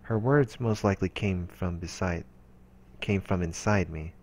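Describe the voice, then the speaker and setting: A man's voice: deep voice, a little slow. A man reading visual novel narration aloud as a let's player, in an earnest, dramatic storytelling way.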